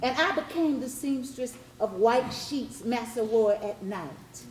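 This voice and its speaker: A woman's voice: on the high side, lively intonation, a little slow, darkish tone, a little hiss or hum. A woman playing a formerly enslaved Southern woman, aching and defiant in love, in a poetic plantation-dialect stage monologue. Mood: sorrowful. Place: stage before a live audience. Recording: roomy live theatre, audience murmuring.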